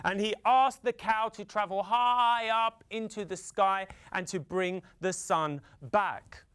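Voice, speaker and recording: A man from the UK telling a folktale theatrically for children, with dramatic pauses, repetition and drawn-out emphasis. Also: sits high, fairly bright tone, smooth. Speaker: storyteller.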